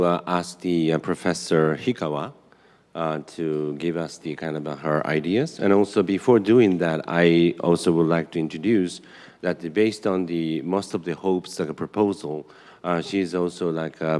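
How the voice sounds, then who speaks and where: A man's voice: sits low, a little mellow. A man moderating a conference panel, with hesitant speech full of fillers. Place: large conference hall. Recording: reverberant conference hall.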